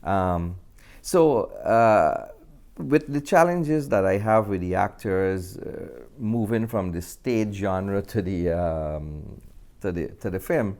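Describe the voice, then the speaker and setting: A middle-aged man with a Guyanese accent, talking in a halting, reflective way as a film director in an interview. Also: sits low, vocal fry, darkish tone. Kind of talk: conversational interview.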